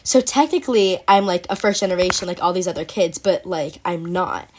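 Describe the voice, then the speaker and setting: A woman's voice: lowish voice, animated, brisk, darkish tone, a little hiss or hum. A teenage girl hosting a casual podcast chat, talking in a chatty way with many fillers and frequent laughs. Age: teens. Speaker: podcast host.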